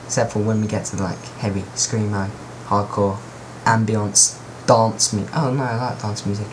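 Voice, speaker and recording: Rambling, jokey young host. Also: male voice, fairly low pitch, flat delivery, moderate pace, background noise. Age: teens. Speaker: podcast host. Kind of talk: casual chat.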